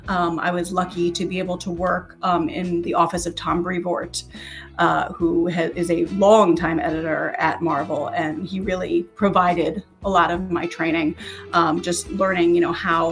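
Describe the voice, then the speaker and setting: An American woman around forty, from Connecticut, talking casually as an interview guest on a video call and telling her own story. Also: lowish voice. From Connecticut, United States. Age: 30s or 40s. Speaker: interview guest, author and teacher.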